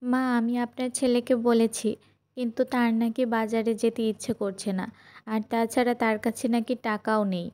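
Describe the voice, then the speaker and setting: Woman voicing a worried cartoon housewife, fairly high, mellow tone, dutiful, plaintive. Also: little pitch movement, normal speed, lightly acted. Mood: respectful, troubled. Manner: plaintive, pleading. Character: worried, dutiful village wife and daughter-in-law. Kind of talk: cartoon voice acting.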